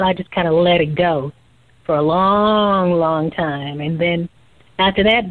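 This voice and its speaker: A woman's voice: on the low side, no echo. Southern woman on a tinny phone line. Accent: Southern US, Central Florida twang. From Central Florida. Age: young adult. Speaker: radio show guest. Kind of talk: casual interview chat. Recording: thin phone line, dry.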